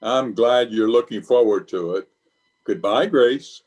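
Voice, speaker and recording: A man's voice: medium pitch, measured pace, darkish tone, lightly acted. A man playing a pompous middle-aged office boss in old-time radio comedy, stammering and hedging as he blusters. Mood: eager, pleased. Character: flustered, evasive, pompous middle-aged male water department boss.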